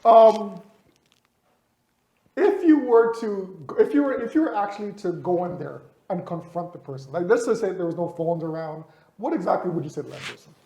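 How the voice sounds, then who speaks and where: A man hosting a casual podcast chat, asking quick, probing questions of his guests. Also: high-pitched, lively intonation, vocal fry, mellow. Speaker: podcast host. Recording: echoey room with faint noise.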